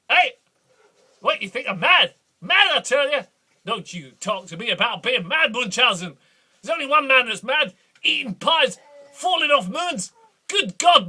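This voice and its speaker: Brief: a man voicing a boastful duke, husky, high and swooping, full of bluster. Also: pushed and bright, full character voice. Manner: rambling, bombastic, boastful. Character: boastful blustering male Scottish duke, belligerent. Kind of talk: improvised comic character monologue.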